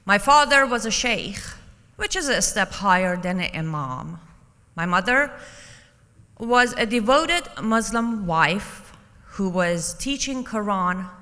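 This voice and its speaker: A woman from Iran, a native Persian speaker, giving a personal testimony as a conference speaker, deliberate and emphatic with dramatic pauses. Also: medium pitch, lively intonation, sharp tone. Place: conference hall, public address. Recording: reverberant hall.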